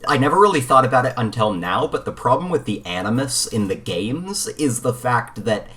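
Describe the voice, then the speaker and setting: A man's voice: mid-range voice, sing-song, a little fast, fairly bright tone. A man co-hosting a podcast, talking casually in an analytical, matter-of-fact manner. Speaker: podcast co-host. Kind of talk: casual chat.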